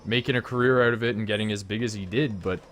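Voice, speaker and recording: A Canadian man with a slightly low-pitched voice, hosting a live game stream with friends. He talks in a loose, animated way, full of "like" and "you know", and airs his opinions freely. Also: quick pace, a little hiss or hum. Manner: opinionated, animated ranting with lots of fillers. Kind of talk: casual chat while playing.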